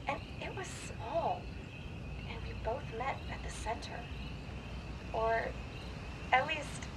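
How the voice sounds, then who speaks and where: An actress playing a serene, whimsical dead soul in a radio drama, speaking philosophically in dreamy, broken phrases. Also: female voice, high-pitched, background noise. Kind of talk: dramatic radio play monologue.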